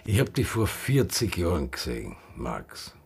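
Husky, defiant older Austrian bar owner. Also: male voice, low voice, huge pitch swings, measured pace, full character voice. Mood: bitter, weary. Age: 60s. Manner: dialect-tinged, impassioned, reflective. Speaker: lead actor and narrator. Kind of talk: radio drama acting and first-person narration.

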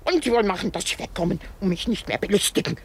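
A woman's voice: mid-range voice, expressive, racing, husky. An actress in a radio drama playing a weary, querulous old married woman, dramatic, self-pitying and given to exclamations. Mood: indignant.

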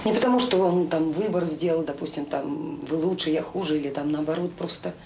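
An actress in a Soviet radio drama playing a determined, anxious woman who speaks haltingly and earnestly. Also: low voice, creaky. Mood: tense, earnest. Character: determined, anxious woman warning a romantic rival. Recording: noisy, roomy old audio drama recording.

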